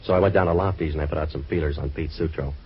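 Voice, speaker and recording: An actor playing a sardonic, wisecracking American private eye in a deadpan, clipped 1940s radio detective style, on an old recording. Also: male voice, extremely low pitch, rapid-fire, breathy edge, muffled recording. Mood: wry, matter-of-fact. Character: wisecracking hard-boiled male waterfront private eye.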